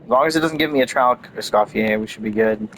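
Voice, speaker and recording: A man's voice: lowish voice, quick pace, a bit bright, slight background noise. A man giving casual gameplay commentary, thinking aloud in an offhand way. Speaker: game streamer.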